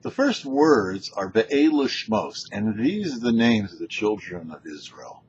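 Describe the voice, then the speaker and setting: A man, a rabbi hosting a show, teaching scripture in a deliberate, emphatic way. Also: on the low side, big pitch swings, darkish tone. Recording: dull lo-fi sound with background noise.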